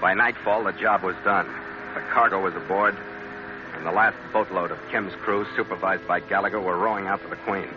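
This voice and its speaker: Actor as deep-voiced wisecracking sea captain, old radio. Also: male voice, fairly even intonation, fairly quick, over music, lightly acted. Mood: terse narration. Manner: breezy, slangy tough-guy talk. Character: tough, wisecracking male sea captain in a pulp adventure. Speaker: hero and first-person narrator. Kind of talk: old-time radio adventure acting. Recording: dull, hissy old radio recording.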